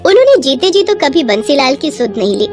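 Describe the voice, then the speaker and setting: A woman's voice: high voice, sing-song, a little fast, over music. A woman narrator reading a scripted moral story.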